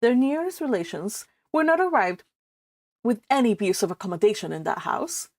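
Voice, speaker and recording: Higher-voiced Californian woman, chatty read-along host. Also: moderate pace, dry recording. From California, United States. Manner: animated, informal, exclaiming asides. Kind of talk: novel read aloud with casual chatty commentary.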